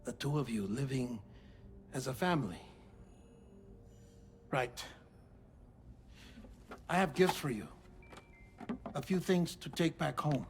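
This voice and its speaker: An actor playing an earnest, gentle middle-aged scientist in a cinematic video game, speaking in a deliberate, formal, explanatory way. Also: male voice, medium pitch, a little hiss or hum, lightly acted. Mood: tender. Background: music.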